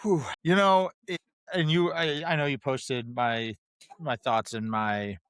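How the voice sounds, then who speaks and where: A man's voice: mid-range voice, fairly even intonation, measured pace, fairly bright tone, clean tone, no echo. A man co-hosting a casual film discussion, talking conversationally and restating his points with emphasis. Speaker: podcast co-host.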